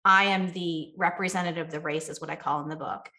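Woman author on a video call, kinda low bright voice, thoughtful, lots of ums. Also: steady tone, quick pace. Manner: earnest, reflective, many fillers and restarts. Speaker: author and guest speaker. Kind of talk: virtual talk Q&A.

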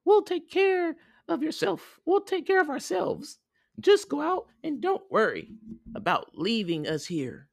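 Storybook goat kid: very high, childlike, defiant, insistent. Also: male voice, sing-song, a little slow, gravelly, heavily acted. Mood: reassuring. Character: young goat kids, high-pitched, defiant little children.

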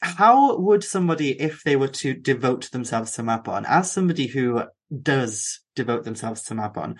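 Man, podcast host, animated, opinionated, frequent laughs, computer mic, video call. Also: medium pitch, breathy edge. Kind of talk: casual podcast conversation. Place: at home in front of a computer.

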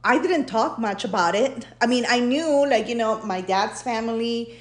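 A woman's voice: a little high, normal speed, roomy sound. A woman from Peru co-hosting a podcast, chatting casually with many fillers and slipping Spanish into her English. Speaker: podcast co-host. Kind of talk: casual bilingual chat.

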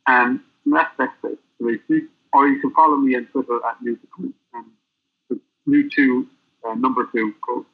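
Guy calling in as a guest, phone line sound, kinda rambly and halting. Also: medium pitch. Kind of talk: casual interview chat. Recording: tinny phone-line audio.

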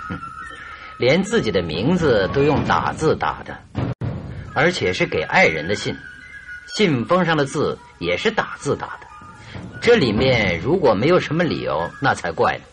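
A man's voice: mid-range voice, huge pitch swings, moderate pace, music in the background. A man acting in a radio drama as a confident, teasing detective who speaks with composure and likes to hold things back. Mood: probing, assured. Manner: composed, teasing, withholding, with knowing chuckles.

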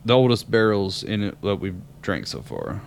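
A man's voice: lowish voice, very animated, normal speed, vocal fry, a little hiss or hum. A man in his twenties co-hosting a podcast, telling a long story in a rambling way, laughing often. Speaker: podcast co-host. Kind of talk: casual chat.

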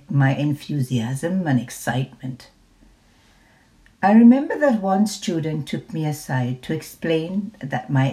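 A woman in her seventies reads her memoir aloud, slow and deliberate. Her voice sits low, with a soft, mellow warmth to it. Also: low-quality recording. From Jamaica. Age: 70s. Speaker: retired nurse. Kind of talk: reading a personal memoir.

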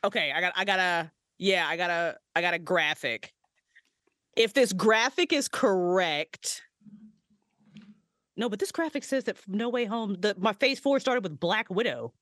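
A woman guests on a podcast with a mid-pitched voice that swings widely up and down. She chats playfully, laughs a lot and swears in a casual way. The recording is dry and close. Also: lively intonation, unhurried, fairly bright tone, dry recording. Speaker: podcast guest, writer and voice actress.

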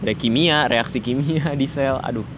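A man's voice: mid-range voice, moderate pace, noisy background. A man hosting a casual interview, teasing and prompting his guest with short replies. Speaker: interviewer host.

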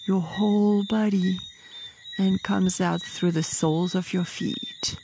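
A woman leading a guided meditation in a soft, breathy, soothing voice, with short phrases and long pauses. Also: lowish voice, fairly even intonation, a little hiss or hum. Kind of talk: slow guided meditation narration.

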